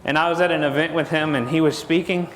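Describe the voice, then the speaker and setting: Texan guy joking with a crowd, kinda high voice, echoey big hall. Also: fairly even intonation, quick pace, fairly bright tone, a little hiss or hum. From Texas. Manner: folksy, jokey, asks the crowd questions. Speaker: conference speaker. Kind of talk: joking seminar talk to an audience. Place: large conference hall stage. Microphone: lapel mic. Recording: echoey hall with long reverb.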